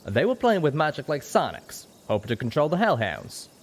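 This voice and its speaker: A man's voice: a little high, very animated, normal speed, a little hiss or hum, pitched above the performer's own voice. A man voicing a folksy, nervous male pegasus cowboy pony in an audiobook. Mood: grave. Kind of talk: audiobook character voice.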